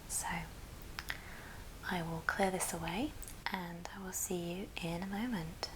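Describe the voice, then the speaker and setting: A woman hosts an ASMR craft tutorial in a soft, breathy whisper. She goes very slowly and gently, step by step, in a slightly low pitch. The recording has clear background noise with small clicks. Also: fairly even intonation. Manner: unhurried, gentle step-by-step delivery. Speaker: host of an ASMR craft channel. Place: indoors at a friend's house. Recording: clearly noisy room, clicking sounds.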